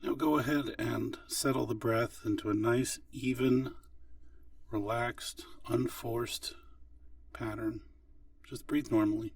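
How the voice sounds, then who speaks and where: A man leading a guided body scan meditation as an instructor, speaking gently and unhurriedly with long pauses. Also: mid-range voice, fairly even intonation, gravelly, no room sound, recorded from a distance.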